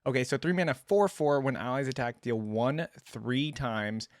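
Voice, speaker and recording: A man's voice: mid-range voice, normal speed, no room sound. Casual male reactor, close dry sound. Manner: informal, chatty, slangy, thinking out loud. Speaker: reaction video host.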